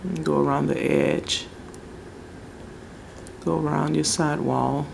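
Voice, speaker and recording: Slow, gravelly-voiced woman chatting. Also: sits low, slight background noise. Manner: relaxed, chatty, searching for words. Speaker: nail tutorial host. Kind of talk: casual how-to narration. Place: home table, indoors.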